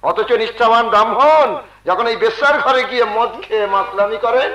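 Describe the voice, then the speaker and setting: A man's voice: very high voice, fairly quick, bright, forceful tone. A man playing a proud, hot-tempered rickshaw puller in folk opera, declaiming defiantly and repeating phrases for emphasis. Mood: bitter, sarcastic. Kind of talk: Bengali folk opera stage acting. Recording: echoey stage sound.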